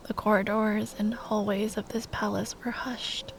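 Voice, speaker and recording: Woman acting a breathy, grieving lady knight, unhurried. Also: medium pitch, level tone, slight background noise. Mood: remorseful, tender. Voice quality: soft, breathy, often dropping to a whisper. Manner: slow, halting, tender with wry teasing. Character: grieving proud female royal knight at a lover's bedside. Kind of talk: intimate ASMR fantasy roleplay monologue.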